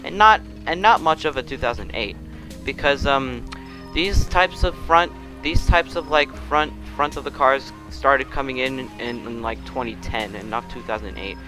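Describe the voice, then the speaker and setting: A man's voice: mid-range voice, normal speed, music in the background, low-quality recording. A young man hosting a casual car review of a game vehicle, rambling with many restarts and fillers. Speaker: car review channel host.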